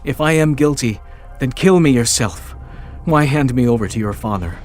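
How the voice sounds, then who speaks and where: A man's voice: mid-range voice, animated, normal speed, a little mellow, soft background music, natural voice. An actor playing an anxious young male fugitive, urgent and pleading, in dramatized scripture audio.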